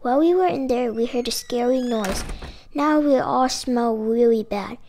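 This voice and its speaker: High voiced lady reading a kids picture book out loud, really expressive and emphatic. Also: normal speed, slight background noise. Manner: expressive, emphatic delivery with dramatic exclamations. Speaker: storyteller reading a picture book aloud with puppets. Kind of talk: animated children's read-aloud.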